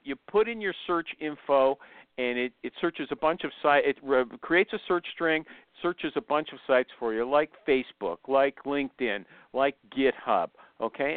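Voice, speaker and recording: A man's voice: medium pitch, clear, resonant tone, dry recording. A man hosting a podcast, teasing and interrupting his guest and tacking "okay?" onto his points. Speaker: podcast host. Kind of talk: casual podcast chat with an ad read. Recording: dry, tinny phone-like line.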